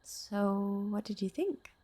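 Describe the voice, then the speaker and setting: A woman acting an affectionate, playful young girlfriend in an intimate audio roleplay, speaking softly and chattily. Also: medium pitch, takes it slowly. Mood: relieved, warm. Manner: soft, tender, chatty with teasing asides.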